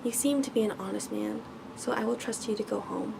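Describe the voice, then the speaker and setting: A woman voicing an angry, commanding male beast in a bedtime storybook, with stern, formal speech, reading softly for ASMR. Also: medium pitch, fairly quick, creaky, noisy background. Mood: stern, grim.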